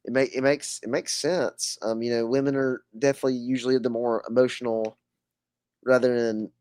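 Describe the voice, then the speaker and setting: A man's voice: medium pitch, level tone, moderate pace, a little mellow, clear, resonant tone, dry recording, studio quality. A man hosting a podcast interview with a scratchy voice, hesitant and full of fillers. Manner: hesitant, many fillers and restarts. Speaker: podcast host.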